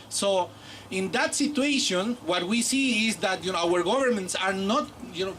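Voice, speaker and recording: A man from Peru, a native Aymara speaker with a Peruvian accent, giving a reflective public speech as an academic guest, pausing mid-phrase. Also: high-pitched, sharp tone, poor audio. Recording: faint outdoor event noise.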